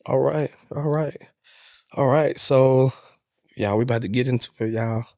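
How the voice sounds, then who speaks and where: A man's voice: mid-range voice, moderate pace, vocal fry, dark tone, dry recording, studio quality. A man hosting an interview in a casual, chatty, colloquial way. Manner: laid-back, chatty, colloquial. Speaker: podcast host.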